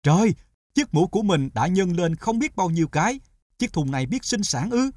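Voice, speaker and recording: A man voicing a weary poor peasant in an animated folktale, exclaiming and complaining. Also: sits high, moderate pace, no room sound. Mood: amazed. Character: poor, weary thin peasant man, prone to amazement and lament.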